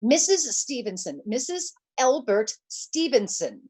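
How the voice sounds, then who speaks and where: An actress playing a nervous, demanding invalid wife in 1940s radio suspense style, with a fretful, insistent, stammering delivery. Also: female voice, a little high, very animated, measured pace, a little mellow, husky, heavily acted. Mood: anxious, flustered.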